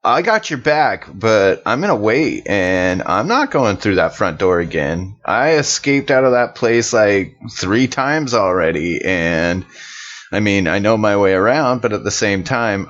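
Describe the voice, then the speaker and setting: A man with a lowish voice chats in character during a tabletop game. He talks colloquially, like someone telling a story to friends, and the audio is slightly dull. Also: lively intonation, measured pace, a bit bright. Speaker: player in an actual play podcast. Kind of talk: casual in-character tabletop role-play chat.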